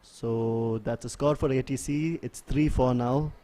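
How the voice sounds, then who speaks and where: A man giving live play-by-play sports commentary in a measured, analytical way. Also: medium pitch, steady tone, warm tone. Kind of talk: live play-by-play commentary of an ultimate frisbee match.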